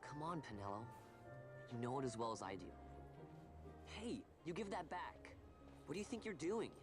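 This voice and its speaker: A man's voice: medium pitch, animated, moderate pace, music in the background, lightly acted. A man voicing a cocky, restless orphan boy in a video game, casual and youthful in manner. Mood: defiant. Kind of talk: voice acting in a game cutscene.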